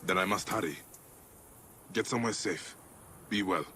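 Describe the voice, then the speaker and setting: An actor playing a determined, protective Egyptian warrior in a video game cutscene, speaking in an earnest, measured way. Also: male voice, low voice, sharp tone, a little hiss or hum. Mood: grave, resolute.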